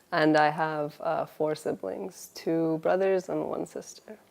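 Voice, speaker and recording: A woman's voice: deep voice, steady tone, slow, gravelly, a little mellow. A woman in her twenties, born in Finland, telling her love story in a casual interview, laughing often and restarting her sentences. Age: 20s.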